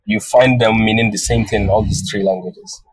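A man's voice: on the low side, steady tone, normal speed, breathy edge, no room sound, low-quality recording. A man from northern Nigeria whose first language is Hausa, answering interview questions thoughtfully and often restarting his phrases. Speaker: guest photographer.

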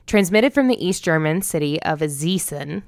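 An American woman hosting a podcast, moving between measured scripted narration and casual banter. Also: low voice, animated, dry recording, clean studio sound. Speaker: podcast host.

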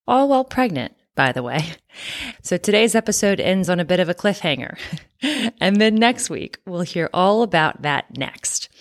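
A woman host with a slightly low voice, bright in her manner and chatty, laughing easily between her questions. She opens the show and then steers a relaxed conversation. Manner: upbeat, chatty, laughs often. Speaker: podcast host. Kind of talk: podcast intro and interviewing.

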